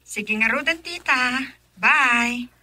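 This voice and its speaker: Bright, smug Filipino matron. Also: female voice, sits high, slow pace, exaggerated voice. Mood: breezy farewell. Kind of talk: radio drama acting.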